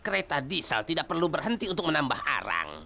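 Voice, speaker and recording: A man plays a grumpy diesel locomotive from a children's cartoon. The voice is gravelly and bright, swooping widely in pitch. It mocks and scoffs with open impatience. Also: big pitch swings, quick pace, faint music behind, exaggerated voice. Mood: scornful. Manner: sneering, scoffing. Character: grumpy, mocking, impatient male diesel engine.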